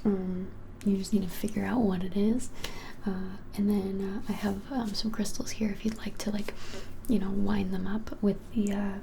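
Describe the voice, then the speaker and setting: A young woman whispers an ASMR shop roleplay in a mellow, slightly creaky voice. Her delivery is even and unhurried, with small hesitations, and a noticeable hiss sits behind her. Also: mid-range voice. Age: 20s. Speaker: ASMR creator playing a witch shop keeper. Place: small plain-walled room, indoors.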